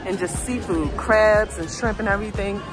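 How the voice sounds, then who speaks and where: A woman's voice: mid-range voice, normal speed. A young woman from Washington, D.C. chatting casually to her viewers as she vlogs her weekend, filmed on a phone held at arm's length. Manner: chatty, laughing, talks straight to viewers. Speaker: vlogger. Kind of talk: casual vlog chat. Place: outdoors on a busy city waterfront. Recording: loud music and crowd behind.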